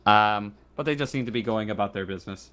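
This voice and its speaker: A man improvising narration as game master of a tabletop roleplaying game, thinking aloud in a halting way. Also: on the low side, heavy background noise.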